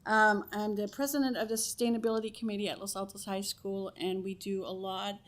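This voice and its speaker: A woman's voice: medium pitch, level tone, a little fast. A woman from Belgium, giving a direct, persuasive public comment at a council meeting. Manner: direct, persuasive, rhetorical questions. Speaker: school committee president. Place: council chamber.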